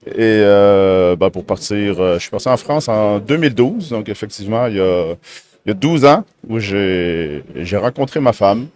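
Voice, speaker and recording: Québécois man in his thirties, guest on a podcast, low voice, hesitant and halting. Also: animated, a little mellow. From Québec. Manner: hesitant, many fillers and restarts. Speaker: guest, technical director. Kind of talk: casual interview chat.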